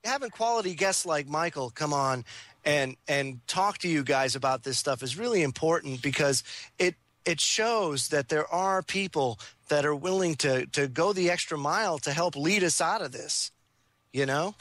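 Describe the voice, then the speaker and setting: A man's voice: fairly high pitch, lively intonation, moderate pace, a bit bright, no echo. A man hosting a talk radio show, speaking in a casual, chummy way and bantering with callers.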